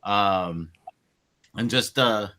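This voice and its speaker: A man's voice: fairly low pitch, very slow, pushed and bright. A man in his forties from Colombia with a Spanish-inflected accent, reflecting thoughtfully as a podcast guest in a casual long-form conversation. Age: 40s. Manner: reflective, searching for words.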